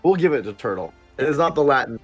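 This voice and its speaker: A man's voice: medium pitch, lively intonation, fast talker, breathy edge, faint music behind. A man hosting a casual livestream and chatting to viewers in a rambling, upbeat way full of fillers. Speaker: producer and stream host.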